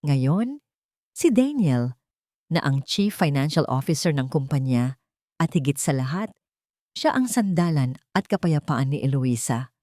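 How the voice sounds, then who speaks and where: A woman with a Filipino accent narrates a dramatic romance story from a script. Her voice sits low, and the sound is close and dry. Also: very animated, measured pace, pauses often, warm tone, no room sound.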